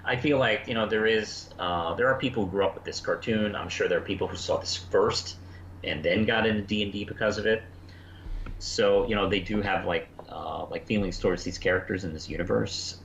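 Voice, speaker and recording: A man co-hosting a casual review discussion, thinking aloud in a hesitant, halting way. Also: lowish voice, vocal fry, a bit bright. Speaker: podcast co-host. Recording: dull lo-fi sound, faint background noise.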